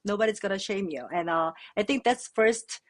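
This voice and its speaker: A young Korean woman, a native Korean speaker, answering interview questions in a relaxed, thoughtful way with many fillers. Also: mid-range voice, normal speed. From South Korea. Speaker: podcast guest. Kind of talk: casual interview chat.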